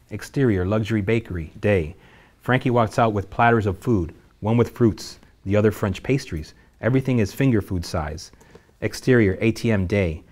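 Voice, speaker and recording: Narrator for a table read: a low-voiced man, steady and matter-of-fact. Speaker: narrator reading stage directions.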